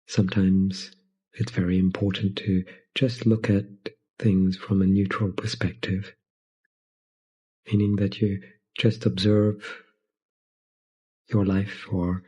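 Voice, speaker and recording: A man guides a meditation in a soft, very breathy and husky voice. His tone is mellow and stays within a narrow, even range. He speaks gently in short phrases, leaving long, unhurried pauses between them. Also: deep voice.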